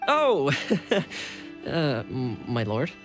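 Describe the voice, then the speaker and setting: An actress playing a devoted young retainer in a video game, respectful yet wryly teasing toward a superior. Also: female voice, rumbling low, huge pitch swings, very slow, soft background music, full character voice. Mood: wry, flustered. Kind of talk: scripted video game voice acting.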